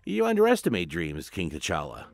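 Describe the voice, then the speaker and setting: A man's voice: low voice, wildly swooping pitch, moderate pace, vocal fry, faint music behind, pitched below the performer's own voice, exaggerated voice. Man voicing a solemn, swooping priestess. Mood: probing. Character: solemn, cryptic female high priestess.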